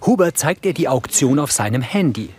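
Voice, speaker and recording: A man's voice: mid-range voice, sing-song, fairly quick, a little mellow, slight background noise. A man reading scripted narration, describing scenes for the audio version of a TV drama.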